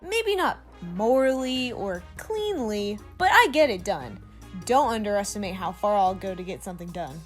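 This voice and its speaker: A woman's voice: medium pitch, lively intonation, normal speed, soft background music, lightly acted. A woman playing a brash, foul-mouthed young human space recruit with cocky, sarcastic delivery in a comedic audio drama. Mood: brazen.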